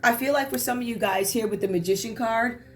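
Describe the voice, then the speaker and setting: A hoarse woman doing a casual tarot reading, chatty and reflective with many fillers. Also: fairly high pitch, brisk. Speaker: tarot reader.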